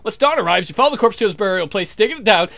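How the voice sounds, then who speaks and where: Man putting on a haughty, theatrical vampire, high-pitched. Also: animated, racing, creaky, bright, forceful tone, exaggerated voice. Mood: lecturing. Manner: formal, theatrical, condescending. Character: suave, haughty, lecturing male vampire.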